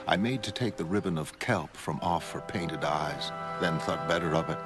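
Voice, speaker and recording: Male actor as shipwrecked mariner, very deep pitch, mournful, formal, slow dramatic narration, music behind.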